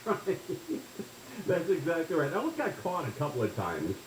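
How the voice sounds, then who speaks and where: A man's voice: fairly high pitch, wildly swooping pitch, a little fast, echoey room, a little hiss or hum, poor audio. A man in his forties hosting a casual talk radio show, rambling and folksy, often tagging his sentences with "right?". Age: 40s.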